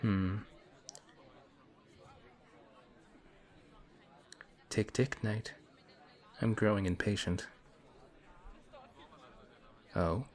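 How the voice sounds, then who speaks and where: A man playing a smug, taunting villain. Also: fairly low pitch. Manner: taunting, slow and drawn out. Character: smug suave male villain, teasing and calm. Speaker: voice actor. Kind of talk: audio roleplay.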